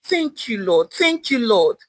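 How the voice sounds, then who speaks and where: Woman playing excitable young Nigerian woman, story character, swooping pitch, exclamatory, close dry sound. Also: slow pace, no room sound, exaggerated voice. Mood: excited. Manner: exclamatory, talking to herself. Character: excitable, hopeful young woman seeking honest work.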